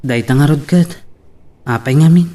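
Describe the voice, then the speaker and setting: An aggrieved, devoted young son in an Ilocano drama, played by a man. His voice is on the higher side for a male. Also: moderate pace, warm tone, natural voice. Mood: concerned. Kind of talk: Ilocano family radio-style drama acting.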